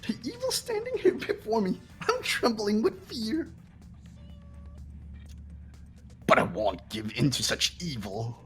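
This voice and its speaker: A performer voicing a sadistic, gleeful robot bear headmaster in a game, with a raspy cartoonish voice and a theatrical, taunting manner. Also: medium pitch, wildly swooping pitch, normal speed, a bit bright, soft background music. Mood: mock heroic. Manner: theatrical, mock-innocent, swooping, taunting. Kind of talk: fan voiced visual novel playthrough.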